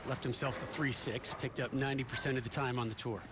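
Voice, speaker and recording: A man's voice: mid-range voice, steady tone, quick pace, noisy background. A man doing play-by-play commentary for a televised bowling match, upbeat and joking as he tells stories between shots.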